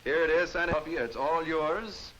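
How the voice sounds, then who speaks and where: A man's voice: on the high side, moderate pace, dull audio, noisy background. A man hosting a game show in an unscripted, chatty style, on a 1950s television broadcast. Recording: 1950s TV broadcast sound, studio audience.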